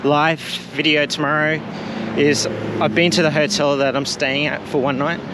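A man with a slightly high voice gives easygoing walking commentary, rambling and poking fun at himself. He is outdoors on a town street and bridge, filming on a handheld camera. Traffic and street noise sit plainly behind his voice. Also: little pitch movement, moderate pace. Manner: chatty, rambling, self-deprecating. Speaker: travel vlogger; teacher.

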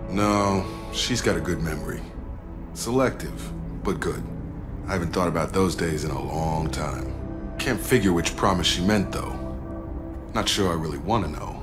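An actor playing a principled, guarded young soldier in a video game, plain-spoken and understated. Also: male voice, low voice, moderate pace, music in the background, close to an everyday voice. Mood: reflective. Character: principled, guarded young male soldier, bitter but loyal. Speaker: ship's officer. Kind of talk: naturalistic video game acting.

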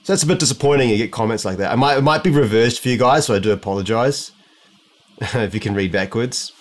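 A man's voice: mid-range voice, fast talker. A young man with a New Zealand accent, an optometrist talking to the camera from his living room in a casual vlog, musing and rambling with many restarts. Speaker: optometrist vlogger. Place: home living room, indoors.

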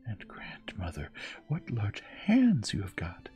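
A man voicing a frightened little girl in a fairy tale, speaking high and in breathy whispers. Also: wildly swooping pitch, slow, warm tone, soft background music, exaggerated voice.

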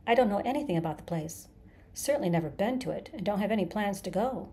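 A woman's voice: mid-range voice, expressive, fast, warm tone, close to an everyday voice. A woman voicing a nervous, flustered man in an audiobook, anxious, apologetic and insistent. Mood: baffled denial. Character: flustered, nervous man pleading to get away. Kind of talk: dramatized audiobook character.

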